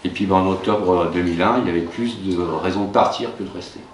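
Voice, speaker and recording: A middle-aged man with a very low voice and Russian as his native language, telling his own story slowly and thoughtfully, stopping often to search for words. He sounds set back from the mic in a roomy space, with a faint hum of ambience behind him. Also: far from the mic. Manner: hesitant, many pauses and fillers. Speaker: teacher being interviewed. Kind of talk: reflective personal recollection.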